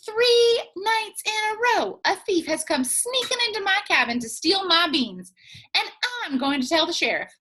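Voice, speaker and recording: A woman's voice: very high voice, lively intonation, normal speed, bright, forceful tone, voice pushed up, exaggerated voice. A woman voicing a feisty, indignant old country granny with emphatic, folksy delivery in a storybook read-aloud. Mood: indignant, determined.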